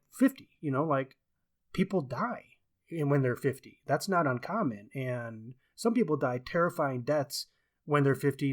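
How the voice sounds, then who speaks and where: A man with a mid-pitched voice talks through books as a podcast co-host. He is opinionated and emphatic, often doubling a word to press it home. The style is casual and conversational. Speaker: podcast co-host and book reviewer. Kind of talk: casual book discussion.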